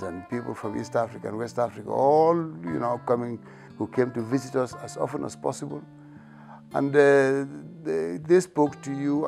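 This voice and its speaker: A man's voice: medium pitch, creaky, a little mellow. A middle-aged man speaking hesitantly in an interview, with many repeats and restarts as he reflects on his family. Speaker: parent interviewed about family.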